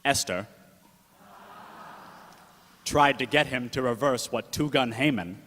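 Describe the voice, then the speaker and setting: A man's voice: mid-range voice, takes it slowly, pushed and bright, a little hiss or hum. A man playing a gangster's-tale narrator in the style of old 1930s radio drama. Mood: storytelling. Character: Mordecai, gangster-tale narrator, loyal uncle turned second in command. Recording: echoey hall, long reverb.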